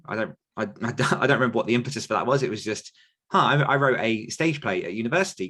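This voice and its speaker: A man's voice: on the low side, a bit bright. A man hosting a podcast, talking casually and rambling with many restarts and fillers as he answers questions. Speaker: podcast host and author coach. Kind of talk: casual conversational podcast chat.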